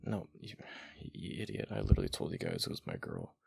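Casting: male actor as affectionate, flustered gamer boyfriend for ASMR roleplay, very creaky low voice, dry close sound. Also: wildly swooping pitch, a little mellow, no room sound, heavily acted. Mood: flustered, sheepish. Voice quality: deep, gravelly. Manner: halting, stammering, murmured.

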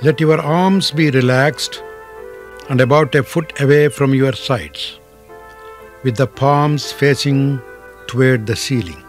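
A man leads a guided meditation at a very unhurried pace. His mid-pitched voice moves in short, deliberate phrases with frequent pauses, giving instructions as a yoga teacher would. Faint music sits behind him. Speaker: yoga teacher guiding deep relaxation.

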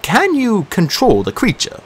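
A man voicing a calm, calculating male schemer who speaks in a measured, curious way in an audiobook. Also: fairly high pitch, slightly rough, pitched above the performer's own voice, heavily acted.

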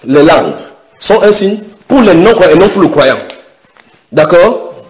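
A man's voice: sits high, very animated. Emphatic preacher, a man. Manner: emphatic, questions the audience, drawn-out stresses. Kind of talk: sermon.

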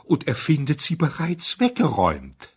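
A male reader performs an anxious hotel owner from a storybook. He wheezes and stammers in agitation. His husky voice swings widely in pitch. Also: wildly swooping pitch, normal speed, full character voice. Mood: agitated. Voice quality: wheezing, gasping. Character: anxious, asthmatic hotel owner, wringing his hands helplessly.

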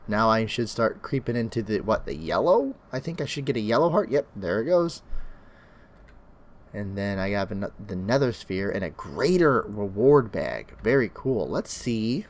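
A young man hosts his own game commentary, talking casually through every step of his play. His voice is a little low and crackly from a cold, with extra bass in it. His pitch swings a lot as he chats. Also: normal speed. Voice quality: extra bass from an allergic reaction. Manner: chatty, animated narration of his own play. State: sick, crackly voice. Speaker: gaming channel host. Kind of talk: Minecraft let's play commentary.

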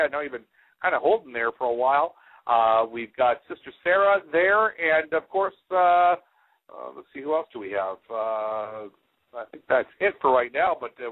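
A man in his forties hosts an internet radio show with a mid-range voice. He rambles through unscripted announcements, pausing and hesitating often. The sound is thin and band-limited, like a telephone feed from a headset. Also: medium pitch, narrow, tinny audio. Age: 40s. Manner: rambling, frequently hesitant delivery.

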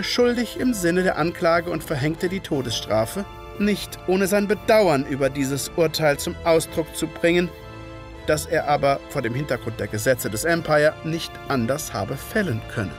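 An actor playing a gentleman physician and former soldier, narrating an audio drama in measured, literary fashion. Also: fairly high pitch, lightly acted.

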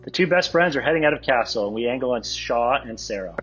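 A man with a husky voice reading stage directions at a script table read. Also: mid-range voice, expressive, fast talker, fairly bright tone, muffled recording, faint music behind.

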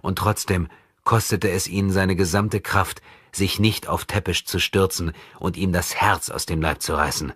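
A man reading an audiobook as scripted dramatic narration, measured and grave. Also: sits low, fairly even intonation, a bit bright, slight background noise.

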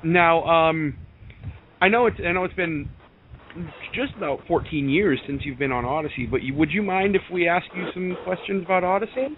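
Halting young man interviewing over tinny audio. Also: on the high side, lively intonation. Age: young adult. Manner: hesitant, halting with repeated words. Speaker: podcast interviewer. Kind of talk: casual fan interview. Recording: tinny phone-like sound, faint noise.

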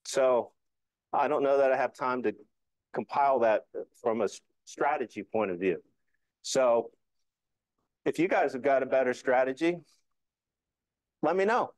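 A man's voice: mid-range voice, expressive, unhurried, long pauses, no echo, poor audio. A middle-aged man giving an informal club presentation, explaining things in a conversational way. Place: indoor meeting room.

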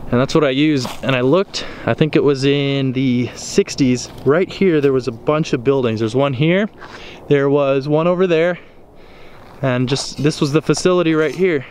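An American man gives casual, curious commentary while exploring on foot. His voice sits at an average pitch. It is caught on a handheld camera outdoors, with clear background noise. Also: normal speed, darkish tone. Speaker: host of an exploring vlog. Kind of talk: casual walking commentary to viewers. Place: outdoors in an open overgrown field. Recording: noisy outdoor camera audio.

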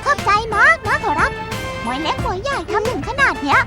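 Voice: very high-pitched, very animated, brisk. An actor voicing an eager little boy novice monk in a cartoon, speaking earnestly and politely. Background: loud cartoon music.

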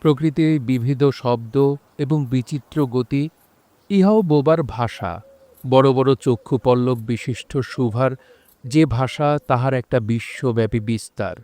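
A man narrating a literary audio drama in a measured, poetic recitation. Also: mid-range voice, mellow, clear, resonant tone, dry recording.

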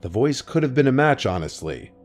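A man reading first-person horror stories in a scripted narration, with a low, hushed storytelling delivery. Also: sing-song, brisk. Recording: soft music underneath.

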